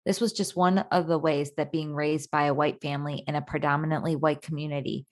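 Low-voiced woman narrator. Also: steady tone, a little fast. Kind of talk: scripted documentary narration.

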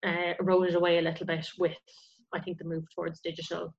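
Female archaeologist as interview guest, lower voice, explanatory and conversational, slightly dull lo-fi sound. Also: monotone, fast talker.

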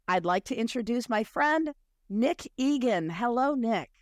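A woman hosting a podcast, hoarse from illness, talking with enthusiasm. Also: fairly high pitch, expressive, a little slow, no room sound, studio quality. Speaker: podcast host. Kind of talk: casual interview chat.

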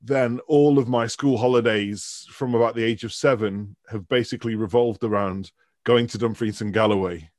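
A man's voice: lowish voice, steady tone, normal speed, darkish tone, dry recording, clean studio sound. A middle-aged man with a Mancunian accent and a trace of Dundee, chatting loosely as a guest lecturer. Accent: Mancunian with some Dundee. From Manchester, England. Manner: chatty, rambling, laughs easily. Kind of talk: casual interview chat.

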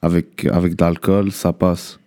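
A young man is answering questions in a relaxed interview. His is Arabic. He speaks haltingly, restarts his phrases and tags sentences with a loose "là". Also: low voice. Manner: halting, repeats words, trails off with "là". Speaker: guest rapper. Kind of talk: casual interview chat.

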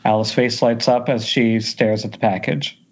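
A man's voice: mid-range voice, steady tone, moderate pace. A man reading scripted stage directions as narrator at an amateur table read. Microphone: Skype call. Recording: dull lo-fi internet call.

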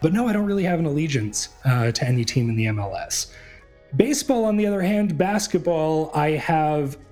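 A man's voice: fairly high pitch, expressive, fairly quick, a little mellow, soft background music. A young adult man from New England hosting a solo sports podcast, talking in a rambling, chatty way with many fillers and restarts. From New England, USA. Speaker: podcast host.

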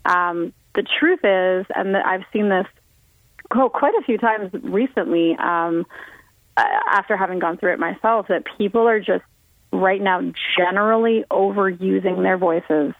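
A woman's voice: mid-range voice, unhurried, dry recording. A woman singer talking casually in an interview, chatty with frequent ums and restarts. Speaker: guest singer. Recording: tinny phone-line audio.

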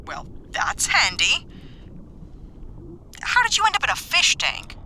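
A woman's voice: extremely high pitch, wildly swooping pitch, a little slow, pushed and bright, a little hiss or hum, full character voice. An actress playing an exasperated, no-nonsense woman captain with a sarcastic, dry way of talking in a sci-fi audio drama.

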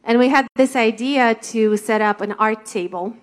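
A woman with a Russian accent, a ministry leader sharing a testimony in conversational church talk, animated and storytelling. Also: a little high, moderate pace. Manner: animated, laughing, storytelling with quoted thoughts.

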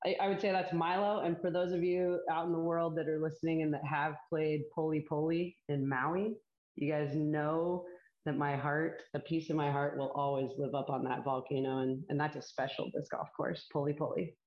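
A woman's voice: low-pitched, flat delivery, normal speed. A young woman talking casually as an interview guest, chatty and bubbly, laughing often. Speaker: guest, disc golf player and commentator. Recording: echoey, dull lo-fi call audio.

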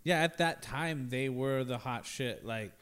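A man's voice: mid-range voice, unhurried, a crowd in the background. Rambling young man co-hosting at home. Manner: rambling, lots of fillers and restarts. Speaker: podcast co-host. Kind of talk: casual chat. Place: at home with a cat. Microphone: shared handheld mic held close.

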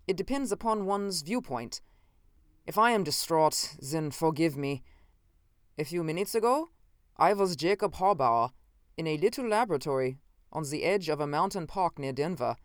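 Man acting a slow, intense, unnerved time-traveling scientist. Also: a little high, expressive, clear, resonant tone, no echo, studio quality, voice pushed up, natural voice. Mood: shaken, intense. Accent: odd, unfamiliar. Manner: slow, deliberate, commanding.

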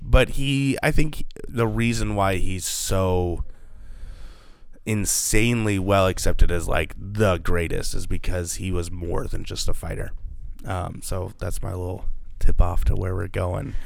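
A man co-hosting a book podcast, sick today with a gravelly voice, chatting casually and rambling with lots of fillers. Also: sits low, steady tone, unhurried, dry recording. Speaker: podcast co-host. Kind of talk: casual chat.

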